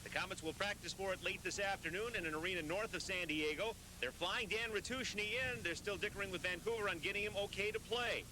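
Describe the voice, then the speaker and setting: A man working as a TV sports reporter, reading scripted hockey highlight narration. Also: fast talker. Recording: noisy 1990s local TV newscast tape.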